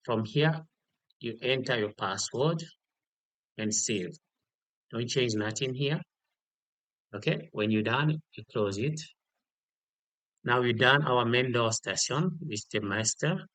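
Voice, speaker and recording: Male tutorial instructor, unscripted and slow, repeats key points, very dry close sound. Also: mid-range voice, lively intonation, a bit bright, no echo, poor audio. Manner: instructional, repeats key details and checks with "okay". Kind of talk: step-by-step software tutorial, unscripted.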